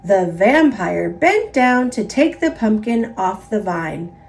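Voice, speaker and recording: A woman with an American accent narrating a children's picture book in an expressive, emphatic read-aloud style. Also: mid-range voice, takes it slowly, creaky. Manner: expressive, emphatic, repetitive build-ups. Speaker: storybook narrator.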